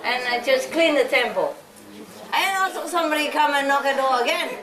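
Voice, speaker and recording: A woman's voice: sits high, expressive, a little fast. Woman, spiritual teacher, chatty and giggly, recorded from afar with a crowd around. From Vietnam. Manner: chatty, laughing often, rambling asides. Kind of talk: informal lecture to followers. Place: large hall with a live audience. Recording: echoey hall, distant mic, audience noise.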